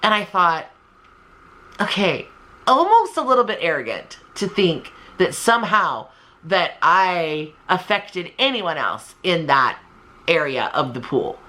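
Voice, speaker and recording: A woman's voice: lowish voice, a little slow. A woman in her fifties talking casually and candidly in a motivational vlog, emphatic and given to acting out remarks. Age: 50s. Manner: animated and emphatic, acts out imagined remarks; candid, conversational. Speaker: video creator and channel host.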